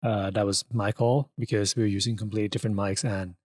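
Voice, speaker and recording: A man's voice: lowish voice, little pitch movement, fast talker, darkish tone, dry recording, studio quality. A man co-hosting a podcast, chatting casually and informally. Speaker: podcast co-host and audio editor. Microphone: close podcast microphone.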